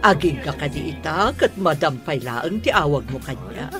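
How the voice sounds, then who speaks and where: A woman with an Ilocano accent playing a motherly older woman in a radio drama, speaking slowly. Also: low-pitched, big pitch swings. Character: mother of the groom, older woman, warm and approving. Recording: clear music bed behind the voice.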